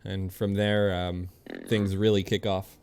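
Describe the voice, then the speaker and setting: A man's voice: deep voice, flat delivery, unhurried, darkish tone, smooth. A man streaming a video game, chatting casually with viewers and commenting as he plays in an easygoing way. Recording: game music behind the voice. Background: video game music.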